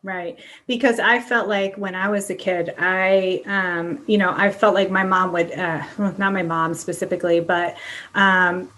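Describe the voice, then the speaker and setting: A woman's voice: fairly low pitch, steady tone, measured pace, a bit bright. Middle-aged woman chatting candidly. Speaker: podcast host. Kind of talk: casual conversational interview.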